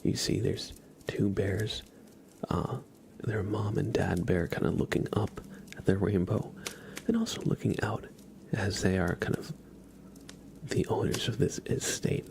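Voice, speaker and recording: Whispering, creaky-voiced man, very unhurried. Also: fairly low pitch. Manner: unhurried, hushed, pausing often. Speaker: ASMR livestream host. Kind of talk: whispered ASMR, casual tarot card reading and chat with viewers.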